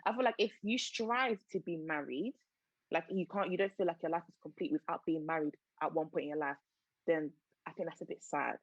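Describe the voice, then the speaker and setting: A woman's voice: low voice, fairly quick, no room sound. A young woman hosting a casual podcast chat, earnest and reflective as she shares her views. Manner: earnest, reflective, speaks of faith. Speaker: podcast host. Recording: dull, dry lo-fi podcast audio.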